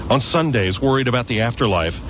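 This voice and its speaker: An actor playing a hardened former guardsman who survives alone in a ruined town, gruff and matter-of-fact, lecturing with bitter conviction. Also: medium pitch, lively intonation, fast talker, noisy background, lightly acted. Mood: scornful. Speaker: stranger with a knife. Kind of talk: local radio drama.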